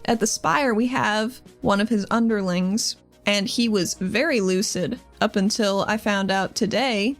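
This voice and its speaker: A resolute squad leader played by a woman at a game table, frank and businesslike. Her voice sits at a middle pitch, with music playing faintly behind it. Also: a little slow, hardly any pauses, close to an everyday voice. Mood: frank, firm. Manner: direct, frank.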